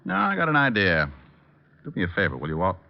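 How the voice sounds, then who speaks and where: A man's voice: sits low, huge pitch swings, quick pace, creaky, heavily acted. An American man playing a cocky, wisecracking private detective in 1940s radio drama style, wry and flippant. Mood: brisk. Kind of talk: 1940s radio drama acting and first-person narration. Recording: dull old radio recording with music.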